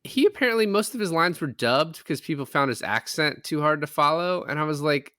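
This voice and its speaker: A man's voice: a little high, lively intonation, brisk, sharp tone, no room sound, studio quality. A man in a casual film discussion, retelling things in a rambling way with some swearing.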